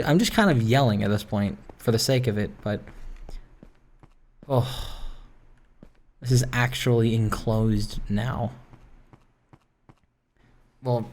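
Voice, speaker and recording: A gaming video host giving casual, rambling commentary in a self-deprecating, ranting way that wanders from one thought to the next. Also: medium pitch.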